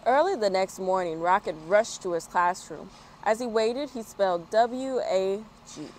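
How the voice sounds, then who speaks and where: An expressive woman speaks as the host of a children's story time, at a middle pitch. She sounds like someone sharing a picture book with young listeners. There is clear noise in the background. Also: rough recording. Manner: expressive, spells words out slowly.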